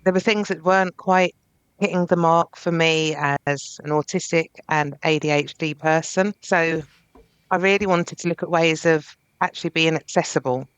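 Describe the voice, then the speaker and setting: A woman's voice: deep voice, steady tone, moderate pace, smooth, no room sound. A woman therapist speaking earnestly and reflectively as a podcast guest. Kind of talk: podcast interview.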